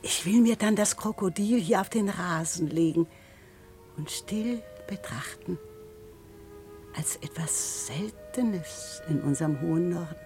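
An actress playing a wistful boat-rental woman in a lyrical radio drama, speaking in dreamy, measured phrases. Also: lowish voice, a little mellow, faint music behind, lightly acted. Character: dreamy, wistful woman who rents out boats.

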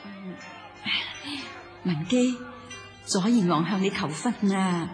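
A woman acts out a matter-of-fact companion for radio drama. Her medium-pitched voice is composed and direct, nudging with questions over a light music bed. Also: slow pace, a crowd in the background, natural voice. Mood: prompting. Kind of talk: Cantonese radio drama acting.

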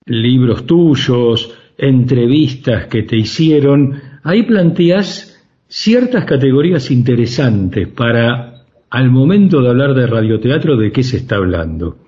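An Argentine man interviewing on the radio in a conversational way, with long, halting, roundabout questions. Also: medium pitch, animated, warm tone. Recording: slightly dull lo-fi sound.

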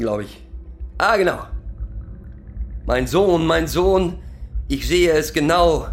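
An actor playing a rambling, proudly sentimental middle-aged pub drinker who lectures and recites poetry in a halting, self-correcting way. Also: male voice, on the high side, vocal fry, fairly bright tone, heavily acted. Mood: flustered. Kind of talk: theatrical monologue with halting recitation.

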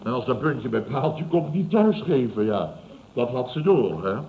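An actor playing a pompous, self-satisfied middle-aged boss who exclaims and stammers when flustered, in old radio comedy style. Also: male voice, mid-range voice, sing-song, unhurried. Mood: smug, boastful. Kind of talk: 1970s Dutch radio comedy acting. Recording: dull, noisy vintage radio recording.

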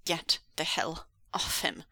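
A woman's voice: mid-range voice, animated, normal speed, pushed and bright, no echo. A woman voicing a defiant, protective young man in a story, with an urgent, sardonic manner. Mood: stern warning. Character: defiant, protective young male captive hero.

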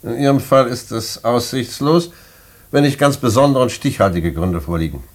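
An actor playing a stern prison director in a German radio play, officious, curt and skeptical, with formal bureaucratic phrasing and a husky voice. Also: male voice, medium pitch, lively intonation, normal speed, lightly acted. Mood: stern, official.

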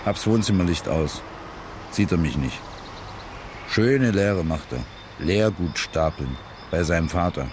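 A man's voice: low-pitched, animated, unhurried, long pauses, background noise. An actor playing a weary, bitter working-class man who narrates his dead-end life in terse, slangy fragments in a radio drama. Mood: weary, sardonic. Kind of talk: radio drama interior monologue.

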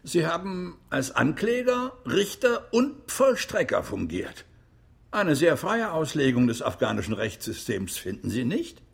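A man's voice: fairly high pitch, wildly swooping pitch, unhurried, creaky, exaggerated voice. A man acting as a sceptical, insistent prosecutor in a courtroom radio drama, with pointed delivery. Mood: probing, sarcastic.

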